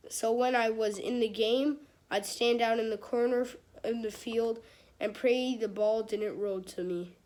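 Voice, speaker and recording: A woman's voice: a little high, fairly even intonation, a little slow, a little mellow. A woman reading a children's book aloud in a casual, stumbling way, with chatty, informal asides. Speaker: amateur reader of a children's book. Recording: clearly noisy home recording with clicks.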